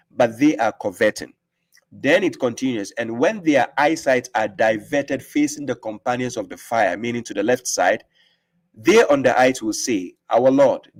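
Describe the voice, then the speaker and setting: A man slowly reading and explaining scripture. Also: medium pitch, low-quality recording. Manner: reads scripture aloud with slow emphasis and explains it; asks rhetorical "right?" often. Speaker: host and religious teacher. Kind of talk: live-stream religious explanation.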